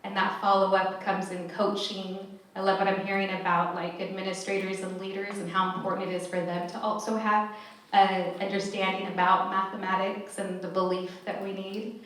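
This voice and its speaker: A woman's voice: mid-range voice, level tone, normal speed, fairly bright tone. Earnest woman panelist in an echoey hall. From California, USA. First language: Spanish. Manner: earnest and heartfelt, many restarts. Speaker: panelist, math educator. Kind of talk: panel discussion. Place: large echoey event hall. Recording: echoey hall, mic far off.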